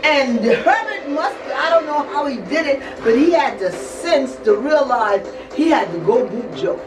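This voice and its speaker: An older woman telling a story in an animated, dramatic way, repeating words and phrases as she goes. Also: high voice, measured pace. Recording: roomy echo, distant mic, music behind.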